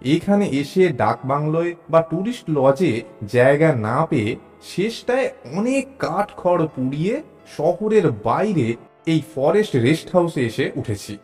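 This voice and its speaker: A man's voice: fairly high pitch, a little mellow. Male narrator over music. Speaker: narrator of an audio story. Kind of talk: scripted dramatic audio story narration. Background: musical background.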